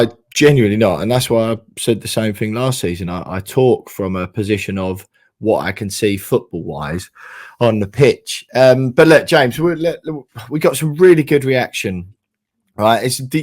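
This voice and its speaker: A man with a croaky, hoarse voice, rambling and correcting himself in a casual football podcast chat. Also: medium pitch, lively intonation, normal speed. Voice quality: rambling, self-correcting, frequent "you know". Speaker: podcast co-host.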